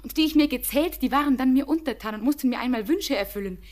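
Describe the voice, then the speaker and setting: An actress playing an innocent, tender young village girl in a classic radio drama, speaking lyrical, earnest with hushed phrases. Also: sits high, expressive, quick pace. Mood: dreamy wonder. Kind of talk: classic German radio drama, poetic stage diction.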